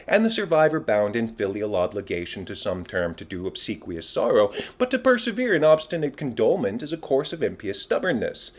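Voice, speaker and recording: Man acting a stately, commanding Shakespearean king. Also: lowish voice. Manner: declamatory, stately. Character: commanding middle-aged king, formal, smoothly authoritative. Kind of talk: dramatic Shakespeare reading.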